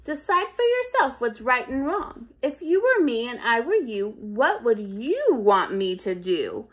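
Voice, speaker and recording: A woman's voice: a little high, very animated, unhurried, fairly bright tone, exaggerated voice. A woman voicing a tiny, plucky ant in a rhyming storybook, imploring and emphatic. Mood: earnest. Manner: imploring, emphatic sing-song rhyme.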